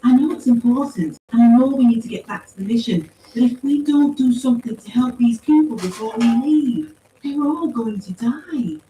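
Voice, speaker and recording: A woman's voice: on the high side, normal speed, warm tone, pitched above the performer's own voice, close to an everyday voice. A woman voicing an earnest, insistent woman soldier in an audiobook story. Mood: pleading. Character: earnest, pleading, indignant woman soldier on a mission. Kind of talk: acted audiobook dialogue. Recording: roomy, distant pickup with faint noise.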